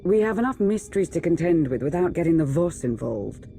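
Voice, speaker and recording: Game companion, dark soft-edged tone, cautious, caring, polite, wry. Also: male voice, on the high side, big pitch swings, a little fast, vocal fry, faint music behind. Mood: wary concern. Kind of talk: video game cutscene acting.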